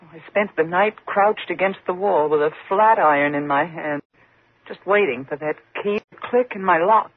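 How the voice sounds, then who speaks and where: A woman's voice: fairly low pitch, normal speed, breathy edge, no echo, narrow, tinny audio. An actress playing a frightened single woman in a 1950s radio suspense drama, tense and dramatic in her delivery. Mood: haunted, dread. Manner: tense, dramatic, faltering when flustered.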